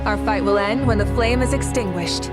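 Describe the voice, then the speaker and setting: A woman's voice: mid-range voice, normal speed, loud music behind the voice, lightly acted. Resolute, heroic warrior queen played by a woman. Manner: formal, resolute. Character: heroic female fire ruler, confident, honorable warrior. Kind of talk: scripted game voice acting.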